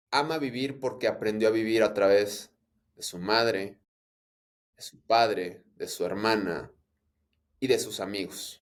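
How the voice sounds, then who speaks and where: A Mexican man in his thirties with a Mexican Spanish accent, talking as a guest in an interview in a reflective, open way. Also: lowish voice. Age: 30s. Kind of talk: casual interview conversation.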